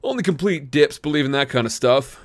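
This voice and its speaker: A man's voice: medium pitch, expressive, brisk, pitched above the performer's own voice, close to an everyday voice. A man voicing a cocky teenage older brother who acts overly friendly but hides something sinister. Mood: breezy.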